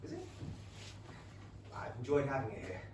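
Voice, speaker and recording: An actor playing an eager, naive young Regency gentleman in love, in a stage comedy. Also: medium pitch, wildly swooping pitch, normal speed. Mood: earnest, defensive. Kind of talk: period comedy stage acting. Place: small London theatre. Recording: echoey theatre, distant mic, faint noise.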